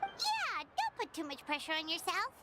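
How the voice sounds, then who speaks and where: A tiny chirpy sidekick, extremely high and sing-song, game score loud behind. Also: female voice, wildly swooping pitch, normal speed, over loud music, exaggerated voice. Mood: gentle. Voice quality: very high, bouncy. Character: small chirpy childlike companion.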